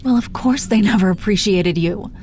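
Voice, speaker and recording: A woman's voice: mid-range voice, moderate pace, a little mellow, natural voice. A woman playing a teasing, protective female supervillain in her thirties in an intimate roleplay audio drama, foul-mouthed but coaxing, full of pet names. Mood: outraged, tender. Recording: noticeable background noise.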